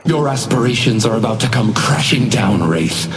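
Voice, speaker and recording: A man's voice: mid-range voice, animated, moderate pace, soft background music, lightly acted. Man playing a theatrical sinister hotel doorman, echoing. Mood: mocking, playful. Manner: silky mock politeness, taunting, theatrical. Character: sinister supernatural hotel doorman, courteous, smug, menacing. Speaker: game villain voice lines. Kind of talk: theatrical video game character voice lines. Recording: roomy echo with long reverb.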